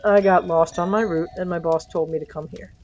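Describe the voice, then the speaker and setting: A woman voicing a proud but grudgingly apologetic male mail carrier in an audio story, placating and halting. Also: lowish voice, steady tone, darkish tone, faint music behind, natural voice. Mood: sheepish.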